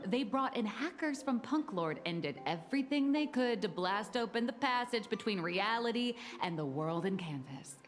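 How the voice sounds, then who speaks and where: A woman's voice: on the high side, lightly acted. An actress voicing a playful, teasing, self-deprecating young woman in an anime-style video game, chatty and theatrical.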